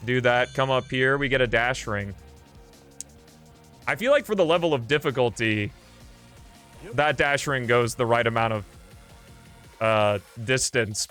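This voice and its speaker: A man's voice: medium pitch, normal speed, pauses often, a bit bright. Casual male game streamer over music. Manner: rambling, thinking aloud, offhand. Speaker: video game streamer and host. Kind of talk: casual live gameplay commentary. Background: video game music.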